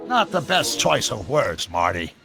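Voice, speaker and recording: An actor playing an eccentric, excitable old American scientist in a video game, theatrical and precise, with a gravelly voice. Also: male voice, medium pitch, unhurried, full character voice. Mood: dry. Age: older.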